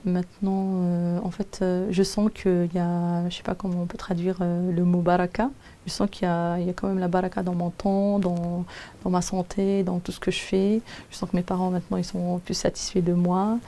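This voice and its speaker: A Quran teacher who is a native Arabic speaker gives a halting personal testimony, often pausing to find her words. Her voice is low and gravelly, mellow in tone, with a flat, even delivery. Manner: hesitant, searching for words with many pauses.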